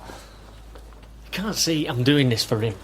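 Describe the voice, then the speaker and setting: An actor playing an earnest, firm adult son in a naturalistic radio drama. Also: male voice, mid-range voice, expressive, takes it slowly, fairly bright tone, slight background noise. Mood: stunned, earnest.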